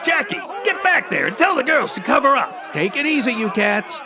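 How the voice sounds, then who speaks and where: An actor playing a jittery, shady bar owner who talks in theatrical hepcat slang in a radio comedy. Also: male voice, extremely high pitch, very animated, normal speed, shouting, a crowd in the background, full character voice. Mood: panicked. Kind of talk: old-time radio comedy acting.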